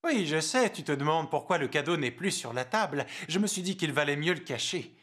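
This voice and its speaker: Man voicing a high-pitched, lively fatherly cartoon guardian. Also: fairly quick, noisy background, close to an everyday voice. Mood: affectionate. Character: friendly, indulgent man who looks after a monkey. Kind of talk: dubbed children's cartoon acting.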